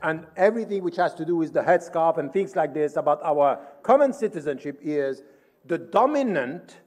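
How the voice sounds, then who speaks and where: Male conference speaker, high-pitched voice, emphatic and didactic, argues formally, long hall reverb. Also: animated, moderate pace, a little mellow. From Switzerland. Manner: emphatic, didactic, poses questions and answers them. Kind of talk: formal conference lecture, argumentative.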